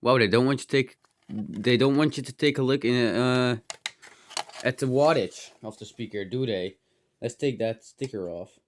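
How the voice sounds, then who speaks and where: A man's voice: mid-range voice, normal speed. A man with a slightly dry, strained throat gives casual hands-on commentary, rambling and thinking aloud with muttered asides and mild exclamations. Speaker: host of a hobby electronics teardown video. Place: indoors in a cluttered room at home.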